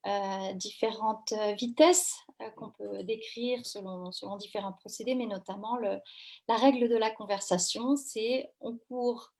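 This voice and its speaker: A woman's voice: medium pitch, fairly even intonation, measured pace, darkish tone. A woman from France working as a running coach, answering questions in a live interview, with many fillers and restarts. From France, Brittany. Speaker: running coach and French teacher.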